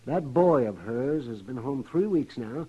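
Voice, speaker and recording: An actor playing a folksy, excitable frontier town doctor in a 1950s western radio drama, with a husky voice. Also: male voice, mid-range voice. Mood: amused. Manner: folksy, expressive drawn-out phrasing. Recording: dull, lo-fi old radio recording.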